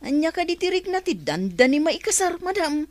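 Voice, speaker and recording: A woman's voice: high-pitched, no room sound, full character voice. A woman with an Ilocano Filipino accent playing a cheeky, playful comic servant in a radio drama.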